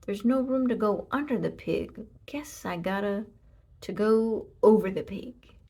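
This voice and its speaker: A woman's voice: medium pitch, a little slow, warm tone, slightly rough. A woman voicing a small, folksy male cowboy burglar from a children's storybook, with sing-song calls and drawling asides. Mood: folksy musing.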